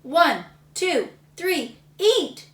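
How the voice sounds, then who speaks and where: Woman doing a loud bossy contest judge. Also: lowish voice, huge pitch swings, slow, voice pushed down, full character voice. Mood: announcing. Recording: roomy, slightly distant mic.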